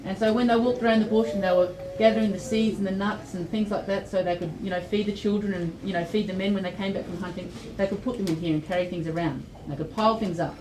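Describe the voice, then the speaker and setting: A woman's voice: mid-range voice, steady tone, fast talker, few pauses, background noise. An Australian woman giving an informal educational talk to young children, explaining simply and often putting questions to them. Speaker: storyteller and presenter at a library children's event. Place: indoor library event room. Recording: echoey room, distant mic, children's chatter.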